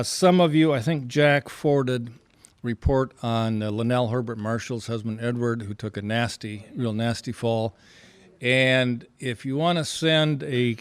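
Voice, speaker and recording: A man's voice: medium pitch, smooth, dry recording. A man chairing a club meeting with informal, rambling and hesitant remarks and announcements.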